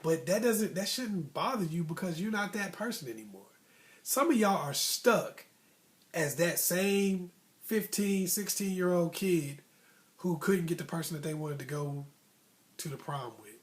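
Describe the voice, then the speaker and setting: An American man with a slightly high voice shares stories from his own life as informal motivational advice. He talks conversationally and leans on repeated phrases to drive his points home. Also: measured pace. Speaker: host of a motivational storytelling vlog.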